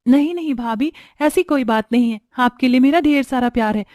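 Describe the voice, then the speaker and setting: A woman voices a humble young woman in a cartoon family story. She speaks politely and sweetly in a fairly high voice, recorded dry. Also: a little fast, a little mellow, no echo, close to an everyday voice.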